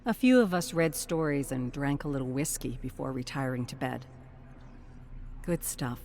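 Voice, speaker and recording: An actress playing a composed expedition leader, reading a game journal in a measured, formal, matter-of-fact way. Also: female voice, rumbling low, a little mellow, a little hiss or hum. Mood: composed, reflective. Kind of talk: scripted dramatic journal narration. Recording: music behind the voice. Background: music.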